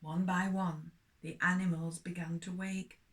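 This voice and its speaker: An older woman narrates a story for young children. She takes her time, stretching words expressively for her little listeners. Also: lowish voice. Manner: expressive, addressing small children, drawn-out words.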